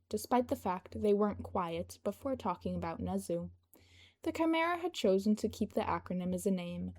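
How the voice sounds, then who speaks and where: Mellow-voiced woman reading a story. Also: medium pitch. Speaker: fan fiction narrator. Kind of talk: scripted narration of a story.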